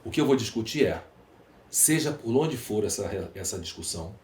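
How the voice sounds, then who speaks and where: A man's voice: on the low side, expressive, normal speed, a little mellow. A Brazilian man speaking as a podcast guest, emphatic and rhetorical, building his points through lists and questions. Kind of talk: conversational interview monologue. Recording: echoey room, faint background noise.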